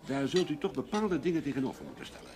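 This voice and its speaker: A man's voice: mid-range voice, wildly swooping pitch, fast. An actor playing a blunt, calculating businessman in a Dutch radio play, direct and coolly polite. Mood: firm, dismissive. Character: blunt, calculating businessman negotiating over staff.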